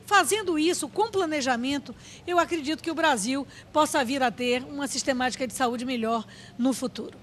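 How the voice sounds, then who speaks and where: A Brazilian woman giving a formal, measured opinion on public policy. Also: high voice, fairly bright tone. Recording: faint background noise.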